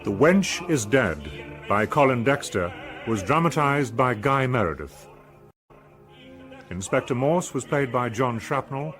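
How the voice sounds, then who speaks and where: Medium-pitched male radio announcer over music. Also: a bit bright. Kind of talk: formal scripted credits reading.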